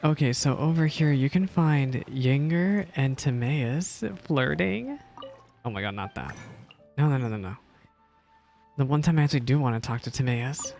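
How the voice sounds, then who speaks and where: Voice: medium pitch, lively intonation, moderate pace, a little mellow. A streamer reacting casually to a video game in a middle-range voice. The talk is informal, full of repeated exclamations, over faint game music. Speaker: gaming streamer. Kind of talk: casual gameplay reaction commentary.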